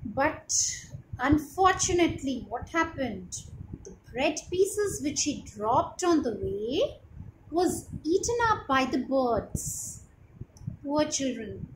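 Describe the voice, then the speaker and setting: High, animated Indian-accented woman telling children's stories. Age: young adult. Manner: expressive and didactic, often asking questions to child listeners. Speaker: storyteller for children. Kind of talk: children's moral storytelling. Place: small room at home, indoors. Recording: roomy echo with clear background noise.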